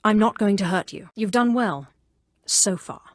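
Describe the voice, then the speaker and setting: A woman's voice: mid-range voice, a little slow, dry recording, studio quality, close to an everyday voice. A woman voicing an imperious, haughty Sith sorceress in a video game, speaking in a commanding, controlled and theatrical way with cool menace. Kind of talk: scripted video game voice acting.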